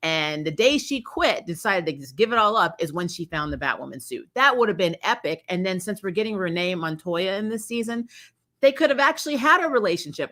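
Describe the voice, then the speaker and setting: A woman's voice: medium pitch, a bit bright. A woman hosting a fan review, giving casual, blunt, exasperated commentary with some mild swearing. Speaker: host of a fan review channel. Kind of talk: casual opinionated trailer commentary.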